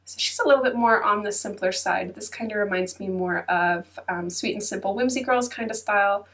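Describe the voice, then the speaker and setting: A congested woman with a stuffy nose talks casually as host of her channel, filling her chat with ums and hedges. Her voice sits at an ordinary middle pitch. She sounds set a little back from the microphone. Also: fairly quick, fairly bright tone, recorded from a distance. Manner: chatty, many ums and hedges. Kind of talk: casual chatty flip-through voice-over. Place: at her computer.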